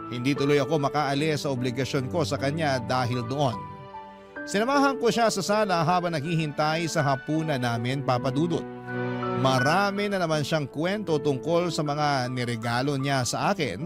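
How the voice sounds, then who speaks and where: A man narrating a radio drama in scripted first-person storytelling style. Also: on the high side. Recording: music bed behind the narration.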